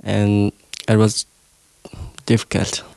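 A man's voice: fairly low pitch, completely flat delivery, slow, vocal fry, a little mellow, dry recording. A young man from Afghanistan answering interview questions in broken phrases with frequent fillers. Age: young adult. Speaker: interviewee, refugee. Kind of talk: halting interview answers in learner English.

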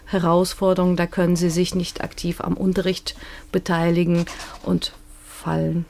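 A woman guest expert explaining her field in a measured way, with occasional hesitations. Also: lowish voice, little pitch movement, creaky, darkish tone, slight background noise. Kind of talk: explanatory discussion.

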